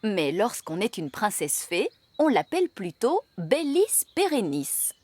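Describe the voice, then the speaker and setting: A woman's voice: medium pitch, big pitch swings, a little slow, noisy background. A woman voicing a prim, bossy, snobbish fairy nanny in a children's cartoon, speaking like a pointed schoolmistress. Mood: instructing. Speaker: nanny and tutor character. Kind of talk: animated children's cartoon dub.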